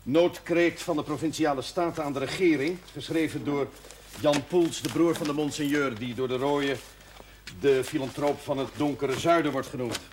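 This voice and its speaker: An actor playing a jovial middle-aged parish priest, hearty and self-mocking, in a 1970s television drama. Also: male voice, on the high side, steady tone, normal speed, background noise, natural voice. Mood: warm.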